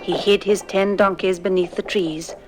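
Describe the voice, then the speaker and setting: A woman's voice: medium pitch, fairly even intonation, normal speed. A woman narrating a children's story record in a theatrical, suspenseful, scripted style. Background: music.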